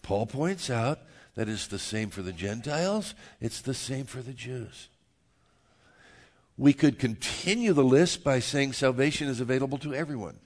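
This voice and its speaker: An older or middle-aged man preaching a sermon as a pastor, speaking emphatically with rhetorical questions and repeated phrases. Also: medium pitch. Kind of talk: sermon to a congregation.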